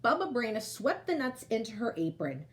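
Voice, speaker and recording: A woman's voice: a little high, normal speed. A woman storyteller reading a picture book aloud to children, expressively and speaking straight to her young listeners. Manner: animated, addresses young listeners directly.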